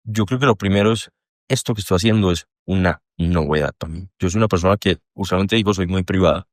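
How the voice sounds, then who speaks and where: Low-voiced Colombian man talking candidly. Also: fast, dry recording, clean studio sound. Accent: Colombian Spanish. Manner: rambling, self-deprecating, swears freely. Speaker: podcast guest, entrepreneur. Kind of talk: candid casual conversation.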